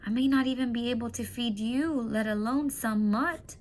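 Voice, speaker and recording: A woman voicing a tired, worried working father who speaks in a gently firm way. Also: on the high side, normal speed, lightly acted. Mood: weary. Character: weary, worried working father, steady and practical.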